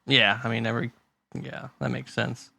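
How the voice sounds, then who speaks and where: A man's voice: mid-range voice, flat delivery, moderate pace, gravelly, sharp tone, no room sound. A man co-hosting a casual podcast chat, reading figures aloud and laughing often. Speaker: podcast co-host.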